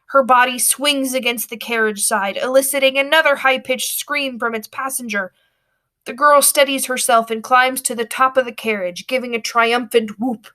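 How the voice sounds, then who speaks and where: A woman reading a storybook aloud with expressive, dramatic narration. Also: high voice, moderate pace.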